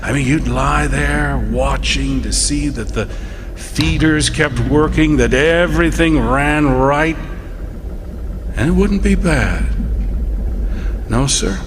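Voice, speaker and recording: A man acting a plain-spoken lighthouse keeper who tells his tale in a halting, reflective way, as radio theatre narration. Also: a little high, background noise. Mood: wistful, admiring.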